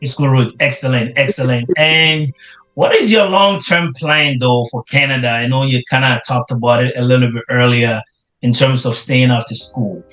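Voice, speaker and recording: A man's voice: medium pitch, moderate pace, a bit bright, faint music behind, low-quality recording. A man hosting an interview, encouraging his guest with frequent affirmations. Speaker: interview host. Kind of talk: casual interview.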